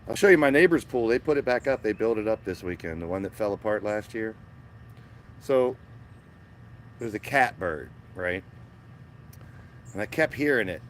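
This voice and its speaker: Raspy, lowish male host chatting live from a garden, small mic, faint outdoor hum. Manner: chatty, greets viewers by name. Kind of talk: casual live-stream chat. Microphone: small phone-mounted microphone.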